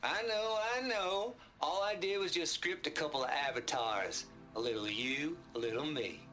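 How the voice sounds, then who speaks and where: High, bright, drawling Southern prankster. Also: male voice, normal speed, soft background music. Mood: playful. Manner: theatrical drawl, teasing. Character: boisterous, folksy, irreverent male prankster programmer. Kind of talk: video game cutscene acting.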